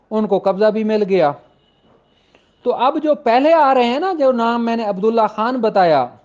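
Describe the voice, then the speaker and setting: Male law teacher, notably high voice, informal and insistent explainer who restates each point, dull low-fidelity sound. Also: a little mellow. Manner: emphatic, repeats points for clarity. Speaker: law lecturer. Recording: dull, lo-fi audio.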